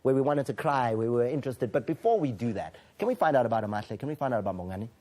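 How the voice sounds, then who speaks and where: A man's voice: medium pitch, rapid-fire, warm tone. A man presenting a sports show, upbeat and talking straight to viewers. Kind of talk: studio presenting.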